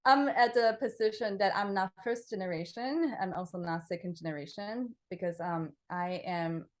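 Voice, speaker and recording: A young woman from Taiwan, a composer answering interview questions in a relaxed, hesitant way, with many fillers, restarts and frequent laughter. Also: mid-range voice, normal speed.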